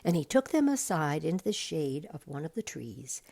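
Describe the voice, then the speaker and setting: A woman reading a bedtime story aloud in a measured, deliberate way. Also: deep voice, big pitch swings, gravelly, dark tone. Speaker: volunteer storybook reader.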